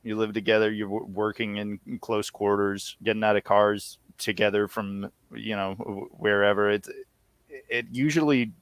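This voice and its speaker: A man's voice: lowish voice, fairly even intonation, normal speed, clear, resonant tone, no echo. A man chatting casually as an interview guest, with a laid-back, wry manner. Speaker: podcast guest, audio series co-creator.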